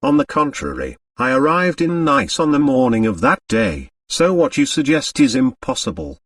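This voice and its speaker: A man's voice: medium pitch, huge pitch swings, moderate pace, dry recording, clean studio sound, far from the mic. A man voicing a haughty, suave French aristocrat with a formal manner in an audiobook. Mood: frank, smooth. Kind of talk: audiobook character dialogue.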